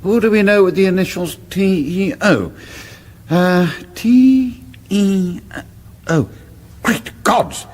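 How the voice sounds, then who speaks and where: A man's voice: high-pitched, animated, slow pace. A man playing a complacent, oblivious older king in a radio comedy, dithering and chiding in a fussy manner. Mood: dawning alarm. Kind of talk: broadcast radio comedy acting. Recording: echoey hall with background noise.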